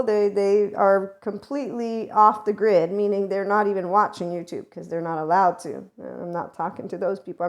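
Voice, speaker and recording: A middle-aged woman hosting a spiritual self-help podcast, talking in a rambling, emphatic monologue that often swings in loudness. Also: medium pitch. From America and Italy. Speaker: podcast host.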